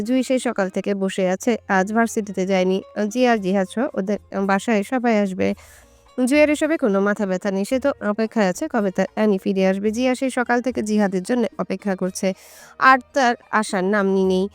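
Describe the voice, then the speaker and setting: Clear-voiced woman narrating, close and dry. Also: medium pitch, no room sound. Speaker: storyteller. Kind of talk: scripted narration of romance story.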